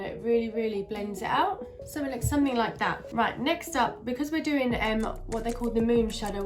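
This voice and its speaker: A woman with a stuffy, nasal voice from a cold, chatting casually through a makeup tutorial with lots of fillers. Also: a little high. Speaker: beauty vlogger.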